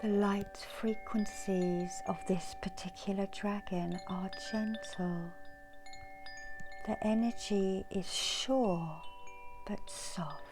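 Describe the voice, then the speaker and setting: Very slow, whispery woman guiding meditation. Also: mid-range voice, fairly even intonation, over music. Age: middle-aged. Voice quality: breathy, often whispered. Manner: soft, slow, soothing delivery with long pauses. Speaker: reiki healer and meditation guide. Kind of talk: ASMR guided meditation. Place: small indoor room.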